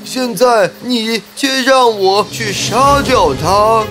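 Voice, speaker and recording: A man voicing an earnest, soft-hearted crocodile in a children's animated fairy tale, with a pleading, emphatic delivery. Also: very high-pitched, takes it slowly, over music, voice pushed up. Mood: troubled. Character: earnest, soft-hearted male crocodile, troubled loyal friend.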